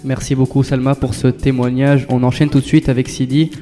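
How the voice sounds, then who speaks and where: A man's voice: medium pitch, flat delivery, brisk, big-room sound, loud music behind the voice. A man hosting a school web radio show, reading his links politely and thanking each guest.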